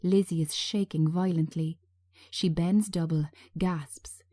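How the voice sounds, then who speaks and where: A woman narrating a screenplay in a measured, scripted reading style. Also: fairly low pitch, fairly even intonation, warm tone. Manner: measured, present-tense scene reading.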